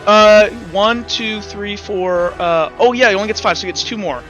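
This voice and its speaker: A man acting as game master, narrating and explaining rules in a halting, self-correcting way. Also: high-pitched, a bit bright, highs cut off, faint music behind. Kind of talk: improvised tabletop game narration and rules talk.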